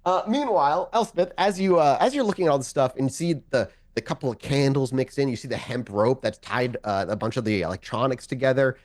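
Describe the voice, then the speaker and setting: A man's voice: mid-range voice, brisk, hardly any pauses, darkish tone. A man improvising narration as game master of a tabletop game, talking in a casual, conversational, sometimes profane way.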